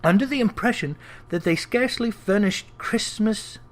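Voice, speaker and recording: A storyteller voicing a polite, portly gentleman seeking charity in a classic storybook, formal and earnest. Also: medium pitch, moderate pace, pitched above the performer's own voice, natural voice. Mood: earnest, appealing. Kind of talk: dramatic storybook character reading.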